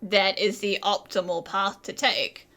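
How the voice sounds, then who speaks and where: A woman's voice: mid-range voice, steady tone, a little slow, bright, forceful tone. A woman playing a playful, teasing young traveler, easily amused, in video game voice-over. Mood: explaining. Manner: teasing, giggly.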